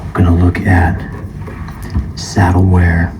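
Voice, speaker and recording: Very deep, slow, soft-spoken ASMR man. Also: noisy background. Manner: calm, measured, partly whispering. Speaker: guitar shop appraiser in a roleplay.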